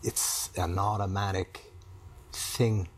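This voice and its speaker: Slow, low-voiced middle-aged man. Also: little pitch movement. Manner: halting, speaks in short fragments. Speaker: client in couples counseling. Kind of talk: halting personal conversation. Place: small TV-style studio set, indoors.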